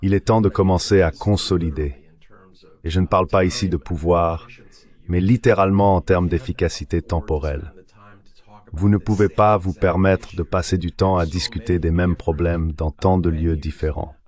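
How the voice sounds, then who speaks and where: Deep-voiced man, French voice-over. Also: fairly even intonation, normal speed, darkish tone. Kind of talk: French voice-over translation of a spoken commentary.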